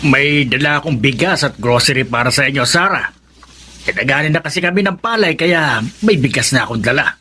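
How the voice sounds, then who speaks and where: An actor playing a kindly, concerned older father-in-law in a Filipino radio drama, with a husky voice. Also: medium pitch, animated, brisk, pushed and bright, soft background music.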